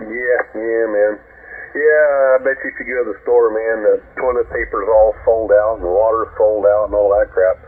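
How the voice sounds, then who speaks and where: A man's voice: on the low side, fairly even intonation, moderate pace. A man on a ham radio, chatting in a laid-back, easygoing way, calling others man and brother. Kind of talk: casual radio chat. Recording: tinny shortwave radio with static.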